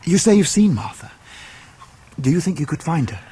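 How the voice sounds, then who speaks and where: An actor playing an earnest, courteous young Victorian gentleman with an English accent in a period radio drama. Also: a little high, big pitch swings, normal speed, a little hiss or hum. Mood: earnest urgency. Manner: polite, earnest, well-spoken.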